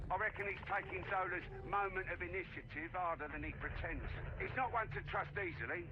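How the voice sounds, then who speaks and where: An actor playing a seasoned, steady military officer in a video game, talking frankly and colloquially. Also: male voice, on the high side, muffled recording, background noise. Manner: matter-of-fact, colloquial. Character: seasoned, plain-spoken male soldier officer, loyal and steady. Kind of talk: video game briefing acting.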